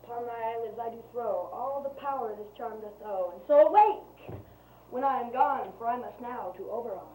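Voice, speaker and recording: A woman's voice: fairly high pitch, fairly quick, few pauses, lightly acted. A woman playing a busy fairy servant casting love charms, declaiming Shakespearean rhyme. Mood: commanding. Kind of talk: Shakespearean verse stage acting. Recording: echoey room, faint noise.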